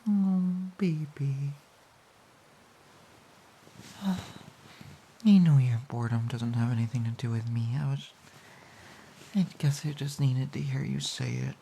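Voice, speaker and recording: A woman playing a playful, pouty, affectionate girlfriend in an intimate romantic audio roleplay, speaking softly and hesitantly with a breathy voice. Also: rumbling low, a little slow, slight background noise, full character voice, low-quality recording. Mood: tender, reassured. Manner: soft, giggly, hesitant, cooing.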